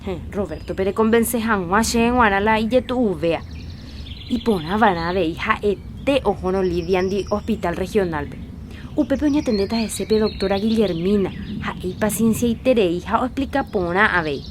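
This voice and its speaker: A woman's voice: mid-range voice, normal speed, a little hiss or hum, close to an everyday voice. A woman voicing a Paraguayan woman in a radio drama who persuades and scolds, with a Paraguayan accent. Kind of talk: radio drama acting in Jopara.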